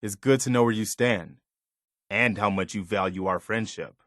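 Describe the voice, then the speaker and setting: A man's voice: fairly low pitch, moderate pace, fairly bright tone, dry recording, studio quality, natural voice. A man playing an earnest, principled militia soldier companion in a video game, loyal and battle-weary, speaking direct military phrasing in scripted dialogue. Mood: hurt, disappointed.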